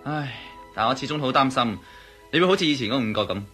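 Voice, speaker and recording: An actor playing a worried, curious companion in a Cantonese radio drama, exclaiming and questioning in Hong Kong Cantonese. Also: male voice, medium pitch, animated, quick pace, a bit bright, soft background music, lightly acted.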